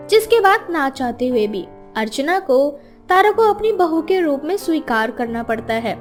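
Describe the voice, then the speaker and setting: A woman narrator reading a scripted story in a dramatic storytelling style. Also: high voice.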